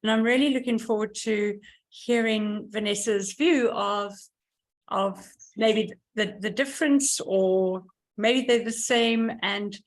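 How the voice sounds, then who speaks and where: A woman's voice: medium pitch, slow. Eager woman searching for words. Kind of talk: online meeting self-introduction. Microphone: video call.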